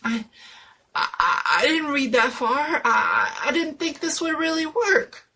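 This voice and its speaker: Woman acting as teenage boy prankster, horror story character, cocky, easily rattled, unhurried, gravelly fry. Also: high-pitched, animated, pushed and bright, voice pushed up, full character voice. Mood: terrified, stammering. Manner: taunting, later stammering.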